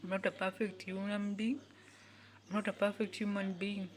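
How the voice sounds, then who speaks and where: A woman, giving an earnest motivational talk in which she repeats each phrase several times and sometimes drops to a soft whisper. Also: medium pitch, little pitch movement, normal speed. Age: 20s. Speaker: youth speaker.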